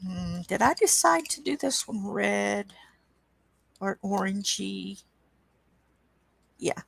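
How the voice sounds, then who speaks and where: A woman with a croaky voice hosting a coloring livestream, chatting casually and reading chat aloud at an easy pace. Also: mid-range voice, animated, no room sound. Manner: slow, unhurried, reads chat aloud with soft asides. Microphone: webcam.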